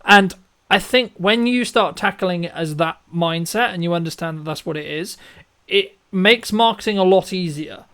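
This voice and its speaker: A man's voice: sits high, moderate pace, a bit bright. A man hosting a conversational business interview, speaking emphatically with rhetorical questions and frequent checks for agreement. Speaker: interview host and filmmaker.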